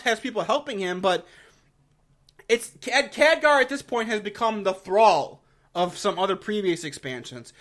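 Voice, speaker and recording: A man's voice: high voice, sharp tone. A man giving a casual, opinionated game lore review, blunt and exasperated, with slangy swearing and mocking asides.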